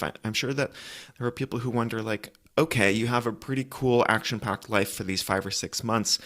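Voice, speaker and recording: A man's voice: mid-range voice, a bit bright. A man hosting a conversational interview, thoughtfully feeling his way through each idea as he speaks. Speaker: interviewer, host.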